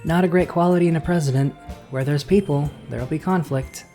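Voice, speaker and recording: An actor playing a gentle, self-deprecating young male club president in a visual novel audio drama, soft-spoken and encouraging. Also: a little high, fairly quick, faint music behind, close to an everyday voice. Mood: quiet, rueful.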